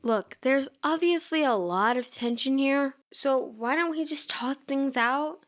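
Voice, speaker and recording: A teenage girl trainer, played by a woman narrator, cautious and a little confused. Her voice sits high and she speaks slowly, questioning things in a measured way as she tries to keep the peace in a dramatised story. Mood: placating. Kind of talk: dramatised fanfiction reading.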